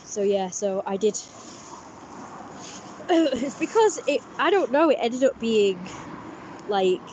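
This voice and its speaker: A woman vlogging casually with a blocked-up, congested voice. She talks in a halting, rambling way, full of restarts and fillers. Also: fairly high pitch, animated, a little mellow, muffled recording, a little hiss or hum. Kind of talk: casual personal vlog talk.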